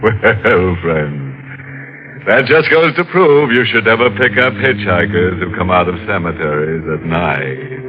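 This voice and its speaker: A sly, ghoulish horror host on old radio. His low voice drawls very slowly between dry puns. Faint music plays under a dull, muffled sound. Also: expressive. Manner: sly, slow, chuckling, punning. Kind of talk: 1940s radio acting.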